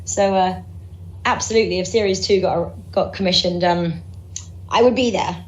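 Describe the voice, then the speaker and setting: A woman's voice: fairly low pitch, normal speed, poor audio. A woman from Norwich who works as a sports presenter, chatting upbeat in an interview with lots of fillers and restarts. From Norwich, England. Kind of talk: casual interview chat.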